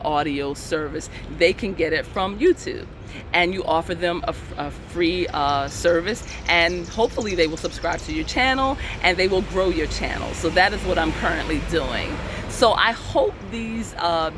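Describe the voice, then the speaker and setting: A man who runs a channel as an indie author, giving casual advice with frequent "uh" pauses. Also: sits high. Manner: relaxed, many "uh" pauses, repeats himself. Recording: noisy recording with traffic outside.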